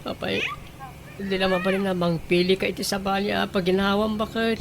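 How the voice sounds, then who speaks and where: A man's voice: high-pitched, level tone, a little fast, noisy background. A man playing an exasperated but doting husband who fusses and protests over his precious rooster, in a comedic radio drama. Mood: pleading, mournful. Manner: fussy, questioning, protesting.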